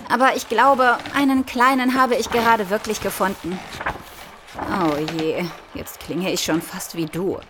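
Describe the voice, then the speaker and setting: Actress as sceptical female friend, mid-pitched, ironic, conversational, teasing, radio play, faint background noise. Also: steady tone, a little slow, close to an everyday voice. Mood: wry, fond.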